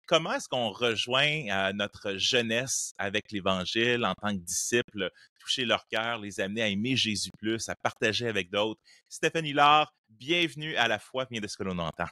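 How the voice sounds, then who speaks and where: A man's voice: on the low side, normal speed, hardly any pauses, pushed and bright, no room sound, clean studio sound. A middle-aged man hosting a podcast interview, joking and laughing often. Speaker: podcast host. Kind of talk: casual interview chat.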